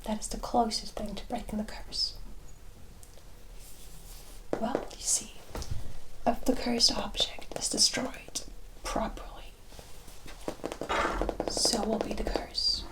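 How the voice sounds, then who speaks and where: A woman playing a teasing, worldly forest witch in a whispered ASMR roleplay, speaking in a deliberate, familiar way. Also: fairly high pitch, little pitch movement, rough recording. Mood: matter-of-fact, advising. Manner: unhurried, deliberate, familiar terms of address.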